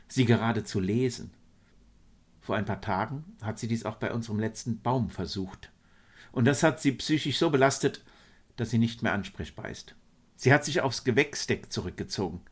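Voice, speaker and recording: A man's voice: mid-range voice, natural voice. A man voicing a worried, weary woman psychologist aboard a starship, earnestly explaining in a story. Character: worried female ship's psychologist, troubled and weary.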